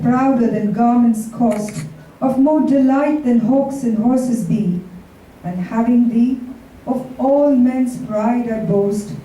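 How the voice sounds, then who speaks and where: A woman reciting Shakespearean sonnets as a poetry reader, in a measured, deliberate delivery. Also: on the high side, mellow. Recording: very echoey, distant, faintly noisy live recording.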